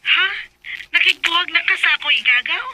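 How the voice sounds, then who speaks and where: A woman's voice: extremely high pitch, fairly quick, pushed and bright, soft background music, heavily acted, rough recording. A woman playing a frank young friend who defends her cousin in a radio drama, with a Bisaya accent. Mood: indignant.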